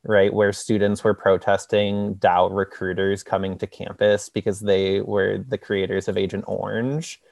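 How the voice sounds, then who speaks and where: Low, gravelly man rambling casually. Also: level tone, a little slow, hardly any pauses, darkish tone, dry recording, studio quality. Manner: rambling, heavy use of "like" and "you know". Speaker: discussion participant. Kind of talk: casual group discussion.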